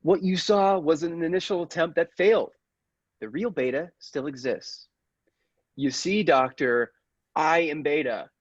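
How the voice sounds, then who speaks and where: A man playing a megalomaniac robot who passes for human, a coldly menacing villain speaking formally and deliberately in a classic radio play. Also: on the high side, husky, no echo, lo-fi sound. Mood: gloating, menacing.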